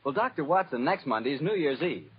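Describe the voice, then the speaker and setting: A man's voice: on the high side, expressive, quick pace, breathy edge. A man with an American accent hosting and announcing in the polished style of 1940s radio. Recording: dull vintage radio recording. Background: music.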